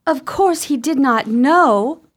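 A woman acting an unmarried, gossipy sister in an old-fashioned gothic radio drama, with a deliberate, formal and dramatic delivery. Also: high-pitched, lively intonation. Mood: agitated.

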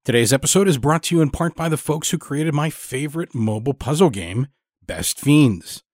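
A man's voice: on the high side, expressive, normal speed, darkish tone, no room sound, studio quality. A man hosting a podcast, reading scripted narration and announcements. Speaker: podcast host.

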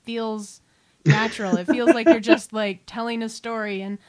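A woman's voice: on the high side, steady tone, moderate pace, crowd noise. A woman co-hosting and interviewing on a podcast, chatting casually in an encouraging manner. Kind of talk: casual chat.

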